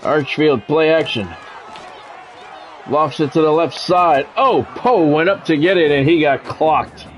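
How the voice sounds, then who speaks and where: A man's voice: fairly high pitch, a little slow, a faint crowd, a little hiss or hum. Male play-by-play football game commentator. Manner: clipped announcer phrasing with informal asides. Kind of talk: sports radio play-by-play commentary over a video game.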